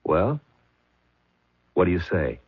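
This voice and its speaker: A man playing a calm, dogged police detective in a 1970s radio drama, talking mildly and hesitantly with many pauses. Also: sits low, slightly rough, heavily acted. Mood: firm. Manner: mild, hesitant, with many uh's. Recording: phone-like old radio broadcast.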